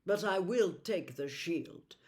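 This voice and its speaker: A woman's voice: lowish voice, animated, slow pace, a little mellow. A woman voicing a noble young prince in an audiobook, with formal, chivalrous, declamatory speech. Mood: resolute. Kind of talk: audiobook character voice.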